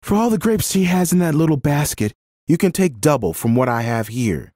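A man's voice: on the low side, lively intonation, measured pace, dark tone, no room sound, studio quality. A man voicing a firm, compassionate, pious woman who speaks earnestly in a dramatised story. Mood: firm, urgent. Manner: earnest, righteous, exhorting.

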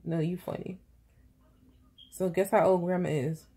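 A woman's voice: lowish voice, fairly even intonation, a little slow, dark tone, husky. A woman in her thirties, a mother chatting and teasing her daughters at bedtime, filming on a phone in bed. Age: 30s. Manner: playful teasing, repeating questions. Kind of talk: casual family chat at bedtime. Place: bedroom, lying in bed indoors. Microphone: phone camera held at arm's length.

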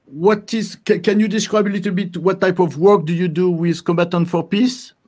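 A man's voice: sits high, fairly even intonation, normal speed, darkish tone. An older man hosting an interview over a video call, speaking hesitantly with many fillers and restarts. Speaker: host. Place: office with posters behind.

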